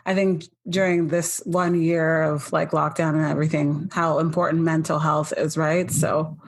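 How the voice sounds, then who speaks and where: Woman podcast host, deep voice, loose conversational questions. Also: little pitch movement, normal speed. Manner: casual, conversational questions with fillers. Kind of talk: podcast interview.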